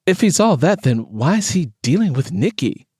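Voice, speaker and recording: A man voicing a proud, sharp-tongued city woman in an audiobook, assertive and sassy. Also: fairly high pitch, very animated, moderate pace, slightly rough, no room sound, studio quality, voice pushed up. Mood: irritated.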